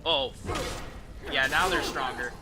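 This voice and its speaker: A man's voice: on the high side, big pitch swings, slow, creaky. A man giving casual, profane, slangy gameplay commentary as a game streamer. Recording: game music behind the voice. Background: video game music and sound effects.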